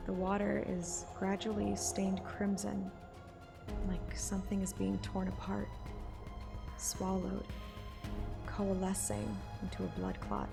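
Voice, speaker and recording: A woman's voice: mid-range voice, monotone, takes it slowly, gravelly, pauses often, over music. A woman narrating a story like an audiobook, with casual streamer asides. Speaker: streamer reading a game story aloud.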